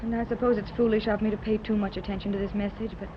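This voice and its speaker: An actress plays a gentle society mother in a 1930s radio adventure. Her medium-pitched voice is soothing and full of earnest hope. The old recording is dull and clearly noisy. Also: level tone, rapid-fire, lightly acted. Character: gentle, hopeful wealthy mother, adult woman. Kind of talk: 1930s radio serial acting.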